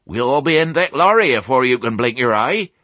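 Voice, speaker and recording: A man's voice: medium pitch, expressive, a little fast, sharp tone, dry recording, voice pushed up. A man voicing a plain-spoken old female sheep with a folksy West Country English dialect. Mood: grim, resigned. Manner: rustic dialect, gruffly folksy.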